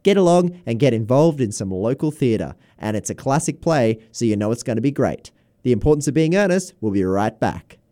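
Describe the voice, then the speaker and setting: A man's voice: mid-range voice, sing-song, fairly quick, dark tone. A man reading an informal scripted community promotion as a radio announcer.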